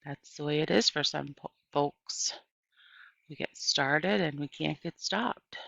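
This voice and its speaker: A woman reader plays a kindly old storybook woman, plainspoken and folksy. Her words come slowly with a crackle in the voice, gently handing down advice. The sound is muffled and close. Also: low voice, vocal fry, no echo, full character voice. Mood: frank, rueful. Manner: folksy, unhurried, gently instructive. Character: kind, plainspoken old woman with failing eyes.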